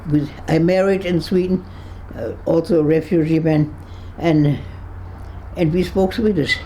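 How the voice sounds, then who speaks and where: A woman over one hundred, from Berlin, recalling her past in an interview, halting and searching for words with frequent restarts, and laughing easily with dry humor. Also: low-pitched, fairly even intonation, warm tone, slight background noise. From Berlin, Germany. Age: 100s. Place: her home on a kibbutz.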